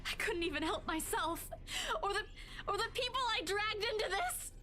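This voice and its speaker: A woman's voice: very high-pitched, lively intonation, normal speed, bright, forceful tone, full character voice. An actress playing a fearful, distraught young heroine in a horror visual novel, pleading in her delivery. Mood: anguished.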